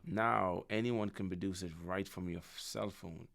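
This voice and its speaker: A man of around thirty, a podcast guest speaking in a relaxed, reflective way on a stand mic in an office room. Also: sits low, normal speed, vocal fry. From Bahamas. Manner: relaxed, reflective, repeats words as he thinks. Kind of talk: casual conversation. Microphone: studio mic on a stand.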